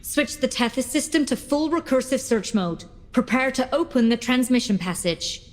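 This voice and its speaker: Serious, high-voiced female operative. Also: expressive, moderate pace. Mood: commanding. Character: tense, cautious young female tech operative of a secret organization. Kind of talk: English game dub acting.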